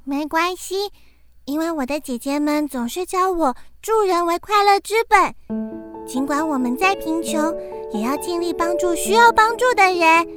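A kind-hearted little girl speaks in a very high, cutesy voice. She is excitable and expressive, as in a children's radio drama. Music plays clearly behind her. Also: normal speed. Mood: kindly.

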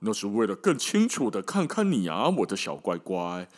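A man's voice: fairly low pitch, huge pitch swings, moderate pace. A man voicing a sly, scheming big bad wolf who sweet-talks a little girl in a storybook tale. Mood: coaxing, menacing. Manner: sweet-talking, wheedling. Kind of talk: storybook character voice in podcast storytelling.

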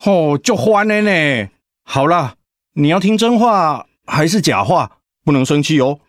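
Flustered Taiwanese husband, animated. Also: male voice, on the high side, unhurried, dry recording, clean studio sound. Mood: weary, placating. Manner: sighing, placating, hesitant. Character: patient, flustered, devoted husband and father. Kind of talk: radio drama acting.

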